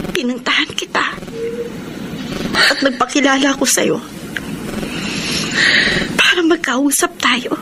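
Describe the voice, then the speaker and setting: A woman's voice: on the high side, background noise, lightly acted. A woman with a Filipino accent playing an anguished, beseeching wife in a melodramatic radio play. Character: anguished pleading wife fighting for her family. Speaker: radio drama actress. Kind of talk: Filipino radio drama acting.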